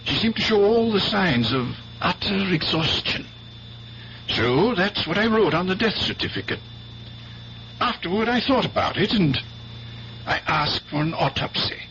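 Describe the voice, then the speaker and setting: An actor playing an earnest, blunt doctor, grave and emphatic, in a 1970s radio drama. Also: male voice, high voice, animated, pushed and bright, a little hiss or hum, exaggerated voice. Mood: troubled, earnest. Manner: grave, emphatic, deliberate phrasing. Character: earnest, worried doctor, a man, blunt and insistent. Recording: dull, hummy old radio recording.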